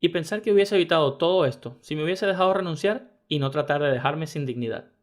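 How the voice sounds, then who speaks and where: A man narrating first-person internet stories in a brisk, even reading style. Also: a little high. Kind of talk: scripted story narration.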